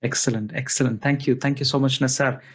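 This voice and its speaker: A man's voice: mid-range voice, fairly even intonation, fast talker, fairly bright tone, slightly rough. A man hosting an interview, polite and thanking his guest often. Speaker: interviewer, host. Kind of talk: casual interview.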